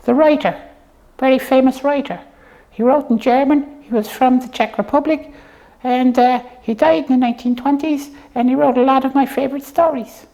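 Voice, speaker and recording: A woman's voice: sits high, moderate pace, mellow, poor audio. A woman presenting a puppet show in casual comic chat, explaining things in a rambling, hesitant way.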